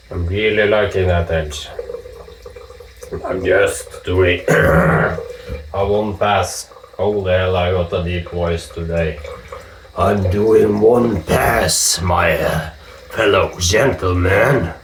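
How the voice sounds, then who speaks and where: A man with a Norwegian accent chatting casually while he shaves, joking and swearing in relaxed asides. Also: sits low, slow, pauses often, slight background noise. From Norway. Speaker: vlogger shaving with a straight razor. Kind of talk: casual talk while shaving. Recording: echoey room with dripping water.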